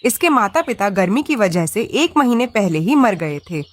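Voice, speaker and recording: A woman's voice: medium pitch, very animated, moderate pace. A woman voicing a greedy, bullying crow aunt in a children's cartoon, scolding and demanding. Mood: scolding, threatening. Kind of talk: animated children's story acting.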